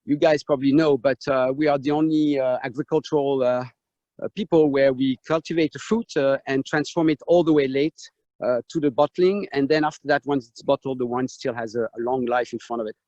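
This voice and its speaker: A man with a French accent, a winemaker giving a live tour of his vineyard over a video call, pausing often with fillers and restarts. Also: medium pitch, fairly even intonation, a little mellow, dry recording. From France. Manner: halting, many fillers and restarts. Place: outdoors in a vineyard on a hot day. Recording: dull lo-fi video call sound.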